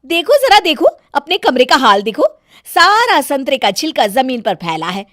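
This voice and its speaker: A woman's voice: high voice, very animated, normal speed, fairly bright tone, no room sound, clean studio sound, pitched above the performer's own voice. A woman voicing a nagging, boastful young Indian daughter-in-law in a storybook tale, with a scolding, self-important manner. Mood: shrill, alarmed.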